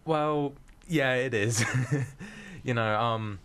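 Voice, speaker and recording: A man's voice: mid-range voice, extremely slow, background noise. A young man, a drama student answering casually in an interview. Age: young adult.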